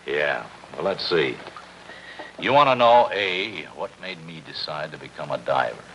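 An American actor playing a weary, sardonic deep-sea diver in a 1950s crime drama, talking in a wry, laconic way. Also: male voice, animated, unhurried, fairly bright tone, exaggerated voice, rough recording. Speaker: supporting actor. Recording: 1950s TV film soundtrack, faint noise.

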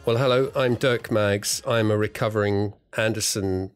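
A man's voice: on the low side, fairly even intonation, normal speed, soft background music. A man, chatting wryly and self-deprecatingly as a podcast guest. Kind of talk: relaxed interview chat.

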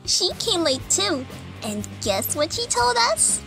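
Woman doing a squeaky playful girl, comic dub, super drawn out, music behind. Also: very animated, slow pace, creaky, full character voice, poor audio. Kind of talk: fan comic dub acting.

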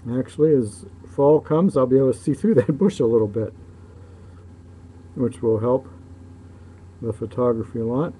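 A man giving casual, off-the-cuff video commentary in a matter-of-fact way, often pausing between phrases. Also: mid-range voice. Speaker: local shipping news publisher filming ships. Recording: muffled dark sound, clear background noise.

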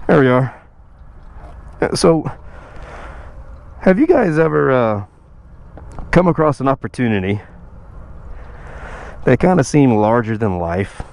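A man chatting casually while riding his motorcycle, his voice a bit rough from recovering after losing it. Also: medium pitch, sing-song, slow pace, pauses often, a little mellow. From Texas. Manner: chatty, self-joking, sighs and laughs. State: recovering from lost voice. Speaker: motovlogger. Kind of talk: casual motorcycle vlog chat. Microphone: helmet-mounted action camera mic. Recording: outdoor wind and road noise.

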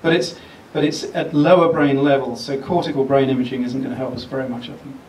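An older man giving a public lecture, speaking in a measured, explanatory way with frequent pauses. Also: mid-range voice, steady tone, a little mellow, a little hiss or hum. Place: large lecture theatre. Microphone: podium mic, then handheld. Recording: echoey hall picked up from a distance.

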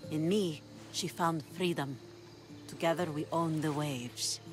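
An actress playing a calm, weathered woman pirate captain in a video game. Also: deep voice, measured pace, music in the background. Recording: game score playing behind.

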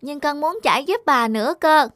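Woman as a high-voiced eager little boy. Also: animated, normal speed, fairly bright tone, dry recording, clean studio sound. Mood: pleading. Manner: childlike, pleading. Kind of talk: cartoon voice acting.

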